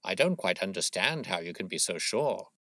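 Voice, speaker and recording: A man voicing a shrewd, smooth-talking gentleman detective, suave and probing, in an audiobook story. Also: low voice, sing-song, moderate pace, dry recording, clean studio sound, full character voice. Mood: bland, persuasive.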